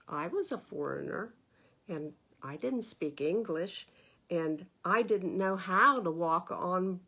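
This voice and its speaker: A woman's voice: on the low side, fairly bright tone. A woman reading a picture book aloud for children at storytime, expressively and with questions to her listeners. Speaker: librarian reading stories to children. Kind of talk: read-aloud children's storytime narration with asides.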